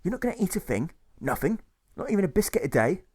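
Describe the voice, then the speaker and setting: A man's voice: high-pitched, very animated, fast talker, a little mellow, breathy edge, pitched above the performer's own voice, full character voice. A man voicing an incredulous clerk in an audiobook, badgering with short, insistent questions.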